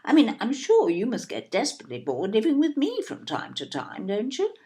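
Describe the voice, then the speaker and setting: A woman's voice: on the high side, huge pitch swings, fairly quick, warm tone. A woman acting in an audio play as an indignant, snobbish older English mother, fussy and emphatic, with an English accent. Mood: aggrieved disbelief. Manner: emphatic, repetitive, tag questions.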